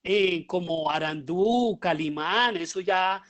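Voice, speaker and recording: A middle-aged man with a Colombian accent giving an informal, explanatory lecture in a halting way. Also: sits high, a bit bright. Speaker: lecturer, theater teacher.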